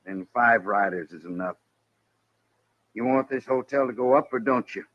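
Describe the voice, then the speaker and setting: A man's voice: mid-range voice, moderate pace, no room sound, natural voice. An American man acting a cocky, menacing hired gun in a radio Western, insolent and commanding. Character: cocky, menacing hired gunman in the Old West. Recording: muffled old radio recording.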